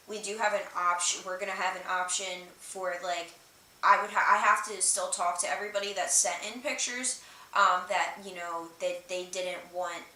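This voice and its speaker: A woman livestream host talks off the cuff in a bright, forward voice. She rambles informally, with many hesitations and filler words. The sound is roomy and distant from the microphone, with a faint hiss behind her. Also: on the low side. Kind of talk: casual livestream chat, explaining and reading out viewer comments.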